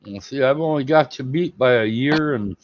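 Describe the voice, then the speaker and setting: A man's voice: medium pitch, brisk. A man in his fifties chatting as a guest on a live stream, friendly and plainspoken. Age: 50s. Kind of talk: casual chat. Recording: dull lo-fi audio with faint noise.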